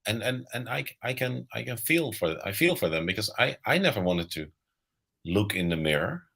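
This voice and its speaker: A man's voice: lowish voice, very animated, moderate pace, gravelly, fairly bright tone, husky. A middle-aged Dutch man with a Dutch accent, speaking reflectively as a guest on a live video-call interview, often repeating words as he thinks. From Netherlands. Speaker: guest author. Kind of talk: casual interview talk.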